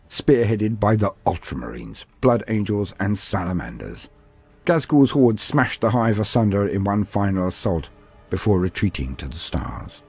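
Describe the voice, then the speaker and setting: A man's voice: on the low side, creaky, darkish tone, slightly rough. A man narrating fictional war history as a scripted, documentary-style lore storyteller.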